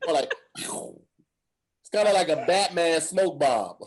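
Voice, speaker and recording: A man co-hosting a casual podcast, easygoing, reasoning things through out loud. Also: fairly high pitch, lively intonation, slow, a crowd in the background. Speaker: podcast co-host, poses the debate question. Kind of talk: casual group chat.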